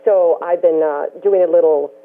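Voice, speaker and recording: A hoarse man with a head cold, calling in and speaking with many ums. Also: fairly high pitch, steady tone, moderate pace. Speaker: caller. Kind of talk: phone-in conference call talk. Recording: tinny phone line.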